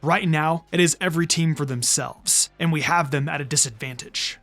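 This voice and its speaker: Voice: medium pitch, moderate pace, fairly bright tone, faint music behind, voice pushed down, close to an everyday voice. A narrator voicing a cold, commanding teenage male ninja with a formal, clipped, unyielding manner in a story reading. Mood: cold, firm.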